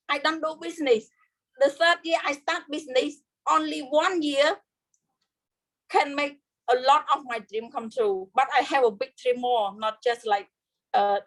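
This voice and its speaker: High-voiced Khmer-accented woman, halting speech. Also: fairly bright tone, rough recording. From Cambodia. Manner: halting, searching for words in simple English. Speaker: guest speaker sharing her story. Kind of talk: personal testimony.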